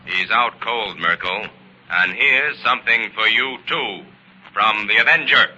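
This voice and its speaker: An actor playing a commanding crime-fighting avenger in an old-time radio drama, speaking in terse orders. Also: male voice, fairly low pitch, measured pace, noisy background, close to an everyday voice. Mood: grim, menacing. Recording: muffled vintage radio recording.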